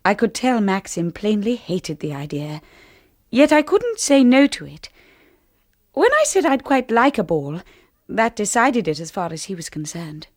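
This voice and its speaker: An actress playing a timid, unsure young wife in a radio drama, soft and hesitant as she narrates and converses. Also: mid-range voice, sing-song, a little slow, dry recording, studio quality. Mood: reflective.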